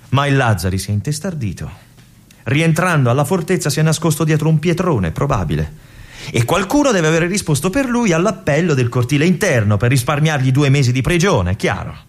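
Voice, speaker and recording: An actor playing a brooding male army lieutenant in a theatrical monologue, with an intense, hushed delivery and phrases that drop to a whisper. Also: mid-range voice, natural voice. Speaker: stage actor.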